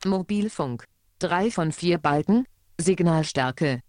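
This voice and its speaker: A man's voice: fairly high pitch, expressive, slow pace, a little mellow, no room sound. A synthetic male screen reader voice announcing menu items in short, clipped phrases.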